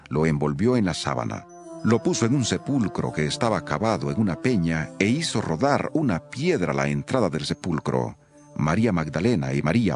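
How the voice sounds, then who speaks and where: A man narrating scripture from a script in a solemn, expressive manner. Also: low-pitched.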